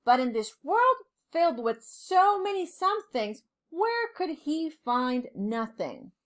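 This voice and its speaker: A woman reading a children's storybook aloud like a teacher, expressively and deliberately, with dramatic pauses. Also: sits high, sing-song.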